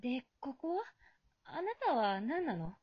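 High-voiced, bewildered blunt girl, acted by a woman. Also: very animated, measured pace, husky, no room sound, lo-fi sound, full character voice. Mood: confused, exasperated. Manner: incredulous, dry retorts. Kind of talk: amateur radio drama acting.

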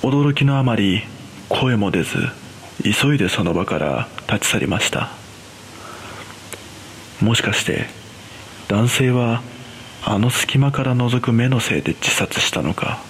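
A man playing an uneasy cleaner, narrating a scripted first-person horror story in a measured, hushed way. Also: medium pitch, animated, a little hiss or hum. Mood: eerie, shaken. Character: uneasy male trauma-scene cleaner recounting an eerie experience.

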